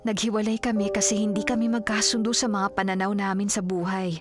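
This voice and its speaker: A woman's voice: medium pitch, level tone, fairly quick, over music, lightly acted. An actress with a Filipino accent playing a devoted, self-sacrificing young woman in love in a radio drama. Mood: gentle, reassuring.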